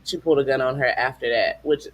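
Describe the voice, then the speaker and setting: A man hosting a casual podcast, relaxed and quick to laugh, hedging his opinions. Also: medium pitch, slight background noise, low-quality recording. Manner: relaxed, laughs easily, hedges. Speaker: podcast host. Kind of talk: casual chat.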